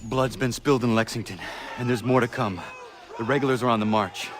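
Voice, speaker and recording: An actor playing a determined young warrior in a video game, speaking in a terse, formal, earnest way. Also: male voice, mid-range voice, fairly even intonation, fairly quick, over music, close to an everyday voice. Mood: grave. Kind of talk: dramatic video game acting.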